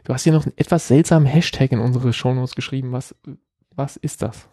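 A man's voice: medium pitch, quick pace, dark tone, no echo, studio quality. A middle-aged man from Berlin with a light Berlin accent, rambling through stories in a casual podcast chat. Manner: rambling, self-mocking storytelling. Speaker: podcast co-host.

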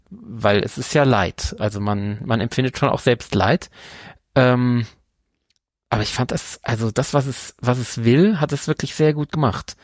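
A man's voice: medium pitch, no room sound, studio quality. A man speaking as a podcast host in a casual film review chat, earnest and thinking aloud.

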